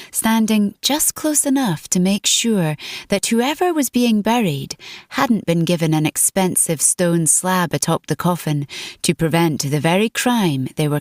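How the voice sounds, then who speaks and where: A woman reading dramatic fiction as an audiobook narrator, in a measured, deliberate storytelling manner. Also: lowish voice, no echo, studio quality.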